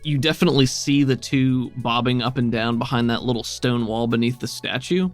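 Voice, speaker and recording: A man's voice: mid-range voice, fairly even intonation, a little fast. A man running a tabletop roleplaying game as game master, explaining things in a conversational, accommodating way. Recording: music playing behind the voice. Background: music.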